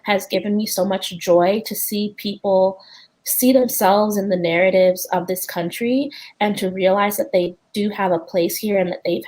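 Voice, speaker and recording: A woman's voice: medium pitch, darkish tone, rough recording. A woman on a discussion panel, speaking as a community organizer. She is articulate and earnest, in a mid-range voice, and often starts her sentences with "um". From United States.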